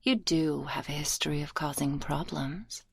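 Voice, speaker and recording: A woman's voice: sits low, moderate pace, vocal fry, breathy edge, no room sound, full character voice. A woman playing a stern, teasing, domineering school librarian, scolding sarcastically in an intimate, half-whispered ASMR roleplay on a close binaural microphone.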